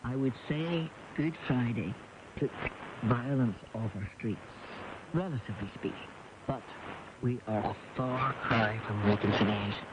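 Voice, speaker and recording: A man giving serious interview commentary, deliberate and emphatic. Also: mid-range voice. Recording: noisy, muffled shortwave radio reception.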